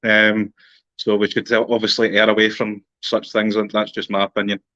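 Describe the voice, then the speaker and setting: A man in his thirties with a Glaswegian accent, a guest in a relaxed conversation who laughs easily. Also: lowish voice, normal speed, no room sound. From Glasgow area, Scotland. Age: 30s. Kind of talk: casual conversation. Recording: dull, muffled call audio.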